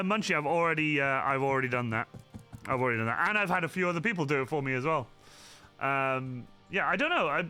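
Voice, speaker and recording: Bloke streaming his prop build, bright voice, swears loads, bit of music in the background. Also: on the high side. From Wales. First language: Welsh. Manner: chatty, sweary, reads chat aloud. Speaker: cosplay streamer. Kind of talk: casual live-stream chat while crafting. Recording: faint music behind.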